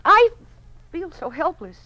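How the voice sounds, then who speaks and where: A woman's voice: extremely high pitch, big pitch swings, a little slow, pushed and bright, no room sound, exaggerated voice. A woman playing an earnest, troubled young French teacher in a 1950s radio drama, speaking carefully and articulately. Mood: troubled, indignant.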